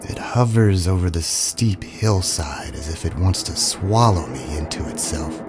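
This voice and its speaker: A man narrating a scripted first-person story in a dramatic, brooding, deliberate manner. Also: sits low, animated, music in the background.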